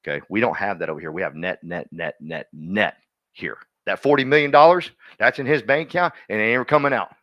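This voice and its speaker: A man coaching a live workshop audience, energetic and folksy, constantly quizzing the room and drawing answers out of people. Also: on the low side, fast talker, muffled recording. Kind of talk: interactive seminar teaching.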